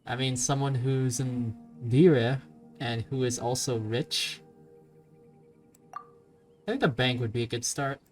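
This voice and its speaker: Informal let's play host, mid-pitched, thinking out loud through game choices, soft music underneath. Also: fairly even intonation, slow pace, long pauses. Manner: informal, reacting aloud. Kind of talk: casual game commentary, reading choices aloud. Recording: faint game music behind.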